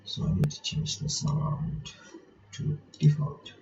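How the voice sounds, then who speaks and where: Halting man in a dull, muffled recording. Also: mellow, a little hiss or hum. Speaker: gaming reaction host. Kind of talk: casual playthrough commentary mixed with reading text aloud.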